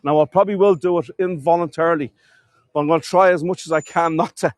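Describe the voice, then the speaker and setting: A man's voice: fairly high pitch, fairly even intonation, fast talker, no echo, clean studio sound. A man with a cold, sniffling as he gives a chatty live-streamed walking tour outdoors, talking to viewers and calling them folks. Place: outdoors in a town.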